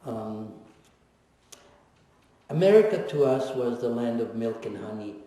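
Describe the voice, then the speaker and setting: A man in his seventies giving a personal testimony at a lecture, reflective and wry, pausing often and teasing his audience. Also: medium pitch, expressive, warm tone. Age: 70s. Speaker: guest speaker, Holocaust survivor. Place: lecture hall. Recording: echoey hall recorded from far off.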